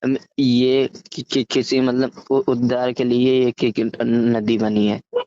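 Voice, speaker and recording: A man's voice: mid-range voice, flat delivery, warm tone. Stammering man on an echoey phone call. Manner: halting, stammering, repeats syllables and words.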